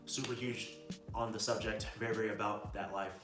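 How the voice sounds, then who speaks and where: Low-voiced young guy in echoey room. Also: fairly even intonation, a little fast. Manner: informal and slangy, often tags lines with "right?". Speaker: video host giving self-improvement advice. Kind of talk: casual motivational talk to camera. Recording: roomy echo, distant mic, music behind.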